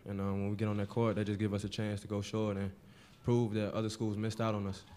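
Young man, college athlete, low voice, flat delivery, table mic, press room. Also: quick pace, slight background noise. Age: young adult. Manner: soft-spoken, filler-heavy, relaxed. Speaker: college basketball player. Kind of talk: postgame press conference answers.

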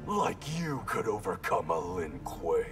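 An actor playing a stern, righteous warrior in a video game, speaking in a grave, formal, commanding manner. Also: male voice, low voice, sing-song, measured pace, over music. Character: stern, stoic male warrior, cold and righteous. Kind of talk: video game fighter dialogue.

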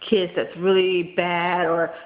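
A woman in her thirties with a nasal voice, congested from a cold and tired, talking fast in a rambling casual vlog full of ums and likes, recorded close on a webcam in a small room at home. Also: lowish voice, level tone. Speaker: vlogger. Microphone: built-in webcam mic, close. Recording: dull lo-fi webcam audio with faint hiss.

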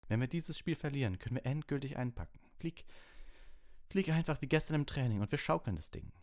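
A man's voice: medium pitch, expressive, brisk, a little mellow, voice pushed up, lightly acted. Man reading as intense teenage team captain. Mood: urgent. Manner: urgent, rallying. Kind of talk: audiobook character reading.

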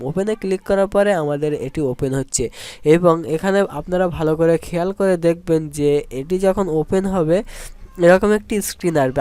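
A man's voice: on the high side, warm tone. A man giving a spoken step-by-step app tutorial in an instructional way, often repeating his phrases. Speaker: tech tutorial host.

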